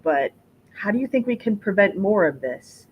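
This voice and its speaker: A woman hosting a panel and moderating it in a measured, encouraging way, often affirming with soft murmurs. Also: medium pitch, very animated, lo-fi sound, slight background noise. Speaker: festival founder and host.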